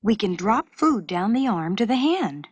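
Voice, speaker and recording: Woman voicing a keen, inventive young schoolgirl, lively intonation. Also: medium pitch, measured pace, husky, dry recording. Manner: questioning, suggesting.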